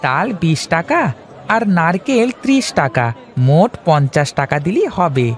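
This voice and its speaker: A man's voice: fairly high pitch, big pitch swings, moderate pace, faint music behind. A man playing a chatty, ingratiating village fruit seller in a cartoon fairy tale. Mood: welcoming, persuasive.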